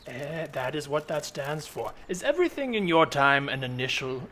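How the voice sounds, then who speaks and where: A man improvising a stoic, literal-minded robot from the future disguised as a girl, speaking in halting, self-important declarations for a comedy podcast. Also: fairly high pitch, very animated, a bit bright, a little hiss or hum. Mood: puzzled.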